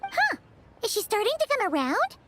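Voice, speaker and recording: A woman voicing a tiny, cheerful, excitable childlike companion creature in a video game, squeaky and chatty. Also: moderate pace, very noisy, heavily acted. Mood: indignant, puzzled. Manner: bubbly, exclamatory, refers to self in third person. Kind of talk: English video game voice acting.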